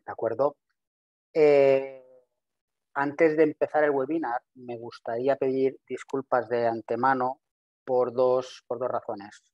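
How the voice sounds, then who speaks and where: A man in his thirties presents a webinar over a video call in a language that is not his first. He talks quickly, often restarting and repeating words as he goes. His voice sounds dry and close, at an ordinary pitch. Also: fairly even intonation, darkish tone, no echo, muffled recording. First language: not Spanish. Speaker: webinar presenter, systems engineer. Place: home office with virtual background. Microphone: video call webcam.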